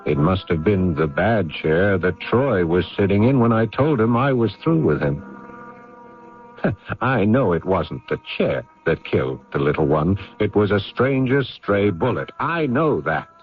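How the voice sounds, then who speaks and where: Brooding, guilt-ridden gentleman, slow and halting. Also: on the low side, sing-song. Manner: halting, musing, self-questioning. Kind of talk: radio drama acting with monologue. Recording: muffled vintage radio drama recording, background music.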